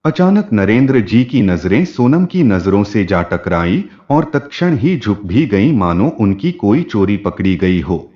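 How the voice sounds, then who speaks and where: A man with a fairly low voice tells a scripted story. His intonation moves up and down a great deal. The recording is dull and lo-fi. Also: big pitch swings, unhurried, few pauses. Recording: dull, muffled lo-fi audio.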